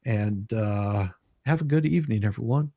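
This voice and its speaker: A man hosting a casual live stream, talking haltingly and often repeating his words. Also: lowish voice.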